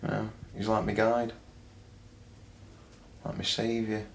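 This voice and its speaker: A man's voice: on the low side, monotone, slow, gravelly, slight background noise. An actor playing a devoted young male follower in a dramatised film, speaking earnestly and hesitantly. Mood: earnest, reverent.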